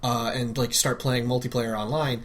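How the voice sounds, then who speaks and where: A man's voice: medium pitch, little pitch movement, moderate pace. A man co-hosting a podcast, explaining his points in a casual, conversational way among friends. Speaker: podcast co-host.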